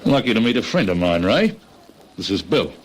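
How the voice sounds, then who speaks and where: Cautious Australian accomplice, very low voice, blunt, colloquial, radio crime play. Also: male voice, very animated, moderate pace, over loud music. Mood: matey. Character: wary, practical male accomplice in crime. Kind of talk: old radio crime drama acting.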